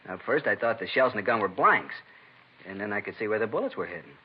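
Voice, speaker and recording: An American man playing a rattled, incredulous shopkeeper and robbery victim in a 1950s radio crime drama, talkative, emphatic and halting. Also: on the low side, lively intonation, breathy edge. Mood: shaken, incredulous. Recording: dull, noisy vintage radio recording.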